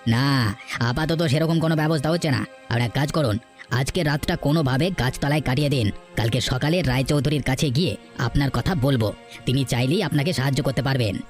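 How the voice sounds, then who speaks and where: Cartoon role: male actor as suspicious, grumbling villager, rapid curt speech, slightly high voice, soft music behind. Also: lightly acted. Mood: suspicious, dismissive. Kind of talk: Bengali cartoon folk-tale dialogue acting.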